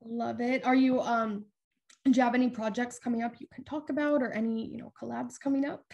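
Gushing young woman interviewer, higher voice. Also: steady tone, brisk, gravelly, a little mellow, dull audio. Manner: enthusiastic, gushing fan, rambling. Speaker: interviewer host. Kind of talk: casual video-call interview. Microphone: webcam video call.

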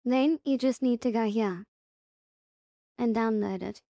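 Slow, high-pitched woman giving a tutorial. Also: creaky, dark tone, no echo, clean studio sound. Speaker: tutorial host. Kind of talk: casual software tutorial narration.

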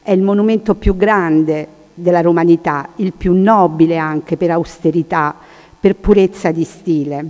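Halting woman in a reverberant hall. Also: on the low side, darkish tone, highs cut off. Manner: halting, searching for words. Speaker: conference speaker. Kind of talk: informal lecture with slides. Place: large hall, seated at panel table. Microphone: table microphone.

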